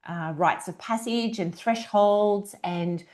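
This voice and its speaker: A woman's voice: mid-range voice, takes it slowly, a little mellow. A woman hosting a podcast, asking loose, chatty questions in a casual conversation. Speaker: podcast host.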